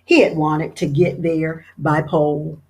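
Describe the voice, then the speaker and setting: A woman, a teacher, reading a children's picture book aloud in an expressive storytelling manner. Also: sits low, measured pace, slight background noise.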